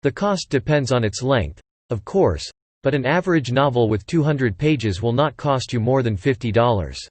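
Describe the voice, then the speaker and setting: Male American promo narrator, synthetic AI voice, mid pitch, very dry recording. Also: animated, normal speed, darkish tone, dry recording. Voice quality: synthetic text-to-speech voice. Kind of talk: scripted promotional dialogue.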